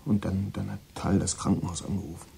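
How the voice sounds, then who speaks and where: An actor playing a troubled, guilt-ridden American boy of sixteen in a German radio drama, speaking haltingly and repeating words in agitation. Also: deep voice, fairly even intonation, gravelly, warm tone, slight background noise. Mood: subdued, weary.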